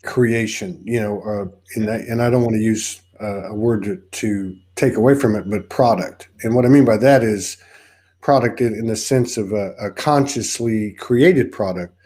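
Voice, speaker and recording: A man from Texas with a Texan accent, hosting a casual spiritual interview over a video call and talking in halting, rambling runs full of restarts. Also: lowish voice, a little mellow. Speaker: podcast host.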